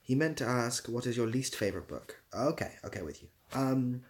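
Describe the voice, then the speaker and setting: A man's voice: mid-range voice, expressive, normal speed. A young man hosting a casual livestream, reading out comments and giving dry, self-deprecating, rambling answers. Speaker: livestream host.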